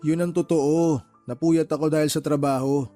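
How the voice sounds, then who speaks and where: A fairly high male voice with a Filipino accent sits over faint music. An actor plays a weary younger brother in a radio drama, defensive and fed up with his family. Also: steady tone, normal speed, warm tone, close to an everyday voice. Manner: defensive, exasperated. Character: weary, exasperated younger brother working in the city. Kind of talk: Tagalog radio-drama phone dialogue.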